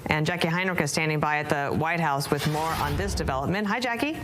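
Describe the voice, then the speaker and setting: A woman's voice: sits low, fast talker, noisy background. A woman news anchor presenting breaking news.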